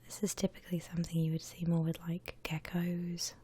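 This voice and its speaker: A woman whispering gently and breathily as she guides listeners through a drawing, in the style of an ASMR tutorial. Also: on the low side, completely flat delivery. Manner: gentle, unhurried step-by-step explaining. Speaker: host of an art ASMR channel.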